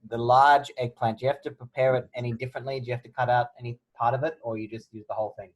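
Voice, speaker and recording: A man's voice: mid-range voice, level tone, rapid-fire, muffled recording. A man in the audience asking a cook follow-up questions in a casual, inquisitive way.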